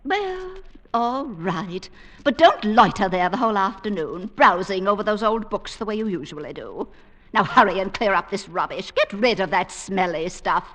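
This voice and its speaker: An actress playing a bossy, domineering middle-aged wife in an old-time radio drama, scolding and imperious. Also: female voice, mid-range voice, lively intonation, moderate pace, a bit bright.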